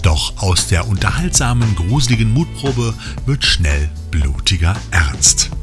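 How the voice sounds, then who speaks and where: A man's voice: fairly low pitch, very animated, measured pace. A man presenting scripted film and release news as a podcast host, with wry asides addressed to the listeners. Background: music under the voice.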